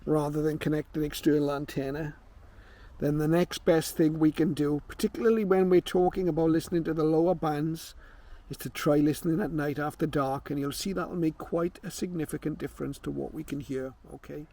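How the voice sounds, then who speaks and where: A man's voice: fairly high pitch, fairly even intonation, fairly quick, dark tone. A man hosting a casual hobby tutorial, explaining things in an informal way. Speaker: host demonstrating a shortwave radio.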